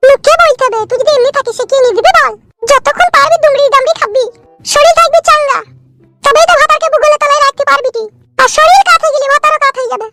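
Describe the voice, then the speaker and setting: Cartoon village aunt, older, bossy, preachy, rural West Bengal accent, artificially pitched up, breakneck delivery. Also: male voice, fast talker. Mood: bossy advising. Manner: chattering, preachy, earthy. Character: bossy older village aunt, nosy, frank, full of advice. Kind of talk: comic cartoon dialogue. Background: music.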